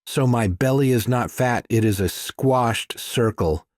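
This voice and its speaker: A man's voice: mid-range voice, unhurried, a little mellow, no room sound, clean studio sound, pitched above the performer's own voice, close to an everyday voice. A man voicing a jovial Indian man, a teasing old friend in an audiobook thriller. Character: Randeep, round, jovial Indian man, teasing and warm-hearted.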